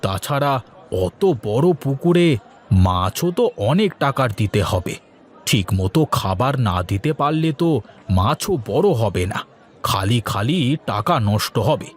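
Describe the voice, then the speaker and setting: A man's voice: medium pitch, very animated, unhurried. A man playing a generous, practical middle-aged village shopkeeper in a moral-story cartoon, talking in a colloquial, avuncular way.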